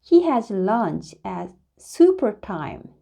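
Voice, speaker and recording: A woman reading a children's story aloud in an expressive, deliberate way, phrasing it dramatically for young listeners. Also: medium pitch, gravelly. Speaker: storybook reader.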